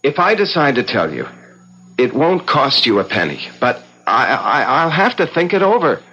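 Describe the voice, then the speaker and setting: An actor playing an evasive, flustered young schemer in a 1970s radio drama, stammering and hesitant. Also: male voice, medium pitch, sing-song, moderate pace, sharp tone, slightly rough, dull audio, soft background music, exaggerated voice. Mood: flustered, defensive. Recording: vintage 1970s radio broadcast.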